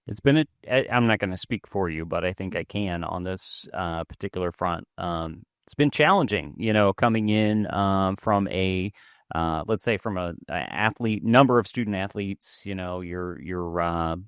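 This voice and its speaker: A man's voice: low voice, normal speed, a bit bright, clean tone, dry recording, studio quality. A man hosting a podcast, asking long rambling questions full of filler words. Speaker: podcast host. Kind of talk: casual interview chat.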